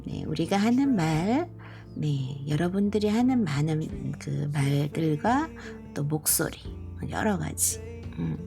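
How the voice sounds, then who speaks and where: A middle-aged woman from Korea who grew up partly in Busan, chatting slowly and gently to viewers with many pauses and fillers. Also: sits low, lively intonation, gravelly. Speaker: live stream host. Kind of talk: casual live chat to viewers. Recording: soft background music.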